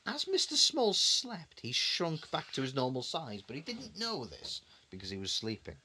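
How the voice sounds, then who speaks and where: A man tells a children's story as a dad would at bedtime. His voice moves in very lively swoops. He repeats phrases for drama and adds conversational little asides to the listener. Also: fairly high pitch, moderate pace, a little hiss or hum. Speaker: father reading a bedtime story.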